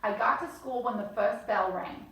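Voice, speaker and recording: A woman hosting a bedtime story reading, with a bright, slightly high voice. She pauses to comment and gives each character a voice. The sound is roomy, and she sits some way from the microphone. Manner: chatty, comments between lines, acts out character lines. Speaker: storyteller host. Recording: echoey room, mic at a distance.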